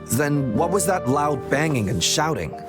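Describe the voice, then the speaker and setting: Game role: young rookie officer, official and questioning, animated intonation, music behind. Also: male voice, on the high side, a little slow. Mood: suspicious. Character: suspicious, dutiful rookie patrol officer. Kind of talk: video game voice acting.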